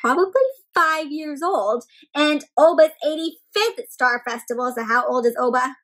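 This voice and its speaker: A young woman with a high voice hosts story time for little kids. She speaks in an animated, expressive way, pausing to ask the children questions as she reads. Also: soft background music. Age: young adult. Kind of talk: interactive read-aloud for young children. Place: small indoor room at home with bookshelves and plants.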